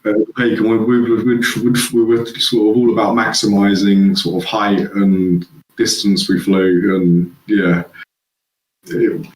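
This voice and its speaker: A rambling man from England chatting as a guest. His voice sits on the low side, and it rings around a noticeably echoey room. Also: little pitch movement, measured pace, a little mellow. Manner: rambling, laughs at own stories. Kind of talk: casual chat.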